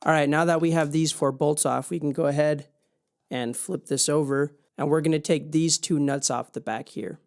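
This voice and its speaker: A man's voice: a little high, fairly even intonation, normal speed, a little mellow. A man hosting a casual hands-on explainer video, speaking in an informal, plain-spoken way. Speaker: video host.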